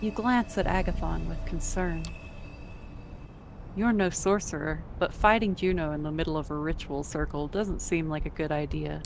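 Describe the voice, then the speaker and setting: A woman's voice: sits low, steady tone, normal speed, vocal fry, a little hiss or hum. A woman narrator reading scripted second-person story narration for an amateur game drama.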